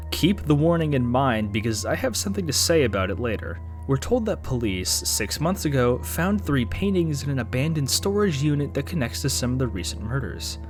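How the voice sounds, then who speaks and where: Wry young man narrating a video essay. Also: medium pitch. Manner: wry, sarcastic asides. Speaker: YouTube commentator.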